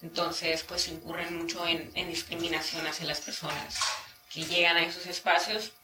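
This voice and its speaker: A woman answering interview questions haltingly, searching for words, in a field recording. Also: fairly low pitch, level tone. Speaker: shelter worker being interviewed. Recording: echoey, noisy field interview.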